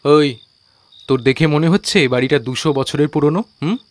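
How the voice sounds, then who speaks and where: A man's voice: on the high side, moderate pace, no room sound, lightly acted. An actor playing a cocky, scoffing young man who leads his friends in an audio ghost story. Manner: mocking, colloquial. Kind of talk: audio drama dialogue in a Bengali ghost story.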